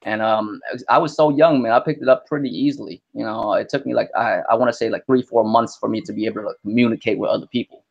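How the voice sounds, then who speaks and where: Gravelly, animated man chatting casually. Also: on the low side, fast talker, few pauses, dull audio. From Vietnam. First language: Vietnamese. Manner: animated, slangy, lots of "you know what I mean". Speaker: guest. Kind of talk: casual interview chat.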